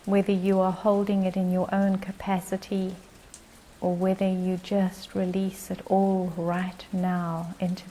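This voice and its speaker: A woman leading a guided meditation as its guide, speaking in gentle, short phrases with long pauses. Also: fairly low pitch, slight background noise, low-quality recording.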